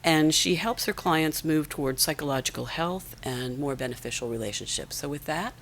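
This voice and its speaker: A woman hosting a meeting and introducing the speaker in a measured, prepared way. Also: low-pitched, a bit bright, a little hiss or hum. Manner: measured, reads out a biography. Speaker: event host. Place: conference room.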